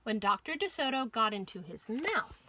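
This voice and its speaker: A woman's voice: a little high, very animated, moderate pace, bright, forceful tone, a little hiss or hum. A woman narrating a children's story expressively as a storytime host.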